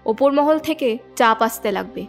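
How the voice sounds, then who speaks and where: An actress playing a commanding police officer who gives brisk orders in an audio crime drama. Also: female voice, fairly high pitch, animated, soft background music. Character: sharp, commanding female police chief, young adult.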